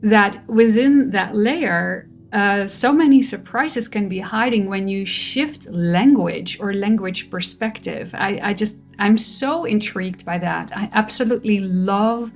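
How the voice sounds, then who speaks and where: Slow, gentle woman. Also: medium pitch, soft background music. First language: Dutch. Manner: gentle, musing, with soft laughs. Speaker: spiritual vlogger. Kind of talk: reflective personal monologue.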